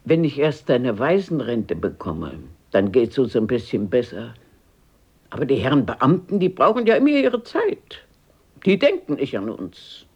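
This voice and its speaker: Old grandma, husky voice, very animated pitch, blunt, affectionate scolding, radio play. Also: female voice, dull audio, exaggerated voice. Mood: scornful, explaining. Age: older. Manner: brisk, outspoken, scolding yet affectionate. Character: blunt, fearless, warm-hearted old grandmother. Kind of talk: radio drama acting.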